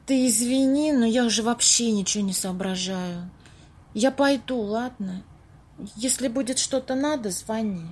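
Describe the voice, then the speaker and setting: A weary, gentle woman played by an actress with a husky, slightly high voice. She speaks apologetically, as a friend in a radio play. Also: unhurried. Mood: apologetic, tired. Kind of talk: amateur audio play acting.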